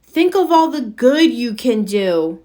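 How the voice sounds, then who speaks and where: A woman's voice: a little high, very animated, slow, warm tone, voice pushed up. A woman voicing a plucky, earnest young male superhero in a children's storybook, with emphatic delivery. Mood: pleading. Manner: emphatic, exclamatory. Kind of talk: children's storybook read-aloud.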